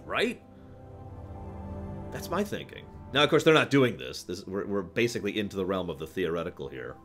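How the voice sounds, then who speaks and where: Guy streamer w a lowish voice talking thru game stuff, really stresses his points, music behind him. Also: big pitch swings, moderate pace, fairly bright tone. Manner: emphatic, explanatory, checks understanding with short tag questions. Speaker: livestream host. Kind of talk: casual livestream chat about video games.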